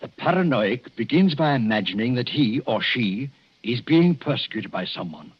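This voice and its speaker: An actor playing a grave, hesitant English doctor who gives ominous warnings in the formal, theatrical manner of 1940s radio drama. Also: male voice, mid-range voice, sing-song, moderate pace, breathy edge. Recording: dull 1940s radio recording.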